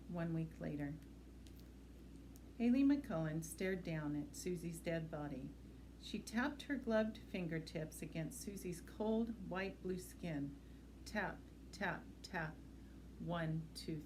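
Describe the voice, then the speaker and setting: A woman's voice: on the low side, noisy background. A woman reading a suspense novel aloud in the style of an audiobook, as a library reader.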